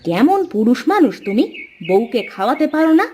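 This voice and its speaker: Cartoon wife, acted by a woman: demanding, sharp-tongued, forever scolding her husband. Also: fairly high pitch, very animated, a little fast, faint music behind. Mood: scornful. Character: nagging, demanding wife of a poor villager. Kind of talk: Bengali cartoon folk-tale acting.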